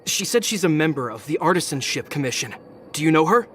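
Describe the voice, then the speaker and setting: A man's voice: on the high side, very animated, fast talker, fairly bright tone, slight background noise. A man playing a timid, earnest townsman in a video game, recounting things hesitantly. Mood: nervous recounting. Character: timid, easily cowed male townsman. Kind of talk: video game character acting.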